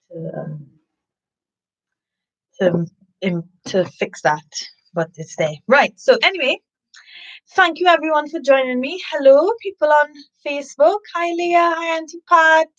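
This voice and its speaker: A woman's voice: high voice, moderate pace, pauses often, muffled recording. A woman hosting a live story time for children, chatting to listeners and reading a picture book expressively. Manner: animated storybook delivery with sniffing and asides to listeners.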